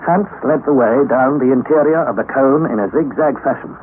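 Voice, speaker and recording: A man's voice: medium pitch, brisk, close to an everyday voice. An actor playing an anxious young student who recounts his expedition in earnest, formal, dramatic narration for a radio play. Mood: weary, awed. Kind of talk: dramatized radio narration of a classic adventure novel. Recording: noisy, narrow-band old radio recording.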